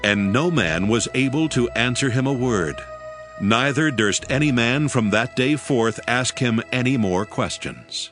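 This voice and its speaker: A man narrating scripture from a script, with formal, measured phrasing. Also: mid-range voice, animated, fairly bright tone, soft background music.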